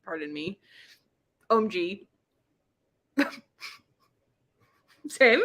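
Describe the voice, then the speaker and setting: A woman's voice: fairly low pitch, lively intonation, extremely slow, bright, forceful tone, highs cut off. A woman hosting a casual book chat livestream, with a playful, exclamatory, slangy way of talking. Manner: playful, exclamatory, slangy, spells out internet abbreviations. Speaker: book club live show host.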